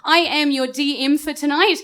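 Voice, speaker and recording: An excited, friendly woman casually introducing a live show on stage as game master and host. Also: high voice, moderate pace. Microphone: stage microphone.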